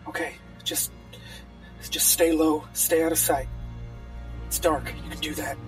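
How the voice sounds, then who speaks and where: Calm protective game companion, played by a woman, low-key and even, slightly distant. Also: a little slow, over music. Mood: protective, hushed.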